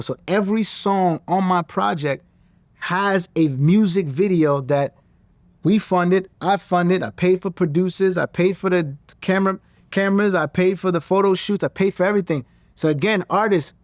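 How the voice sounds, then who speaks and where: A man, talking in an interview in a confident, animated way and often restarting his sentences. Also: high-pitched, dry recording. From Nigerian-American. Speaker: interview guest, singer and actor. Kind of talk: casual interview talk.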